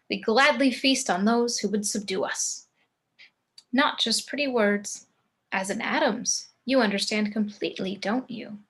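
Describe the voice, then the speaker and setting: Gothic matriarch, a woman's read, fairly high voice, composed, stately, devoted and darkly dramatic. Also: fairly bright tone, slightly rough. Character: elegant macabre matriarch, devoted, grandly morbid wife. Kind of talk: script table read, character acting.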